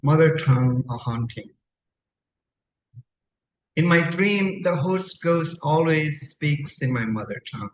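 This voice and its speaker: An unhurried male poet from Nepal, a native Tamang speaker, reads to a room in a measured, deliberate way. He leaves frequent pauses between phrases. The sound is roomy and a little muffled, with faint noise behind him. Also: on the high side, animated. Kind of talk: live poetry reading with spoken introductions. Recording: dull, echoey room recording, faint noise.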